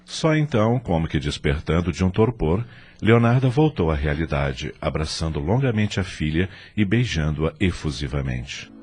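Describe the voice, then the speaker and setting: A man narrating an audio drama from a script in an expressive, literary storytelling manner. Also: very deep voice, normal speed, husky.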